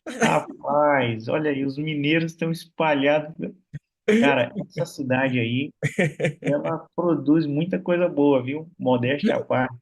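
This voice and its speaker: A man's voice: on the high side, a little slow, creaky, slightly rough. Chatty Brazilian man hosting a church call. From Minas Gerais, Brazil. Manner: warm, chatty, many fillers like "né". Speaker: host of an online prayer meeting. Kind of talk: casual church meeting hosting. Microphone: video call.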